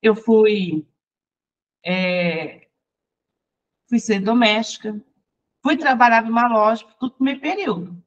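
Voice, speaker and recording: A Brazilian woman, a songwriter and teacher, recounting her life in a chatty, lively interview. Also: a little high, slow, pauses often, highs cut off. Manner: lively, chatty, restarts and laughs while recounting. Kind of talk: personal storytelling in conversation.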